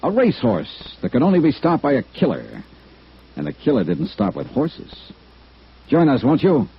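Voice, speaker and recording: An actor playing a wry, hard-boiled American insurance investigator, narrating in a clipped 1950s radio noir style. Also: male voice, mid-range voice, huge pitch swings, moderate pace, gravelly, breathy edge, exaggerated voice. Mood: punchy, inviting. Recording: dull vintage radio recording, music behind.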